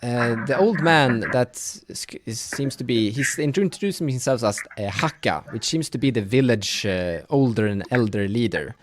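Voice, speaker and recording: A man working as game master, improvising narration for a tabletop fantasy game in a halting way, searching for words. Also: medium pitch, lively intonation, a little hiss or hum.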